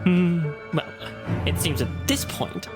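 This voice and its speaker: Woman to play sly motion-comic villain, theatrical and taunting, lively swings in pitch, loud score underneath. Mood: sly amusement. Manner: mocking, drawn-out storytelling, wordplay. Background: loud music.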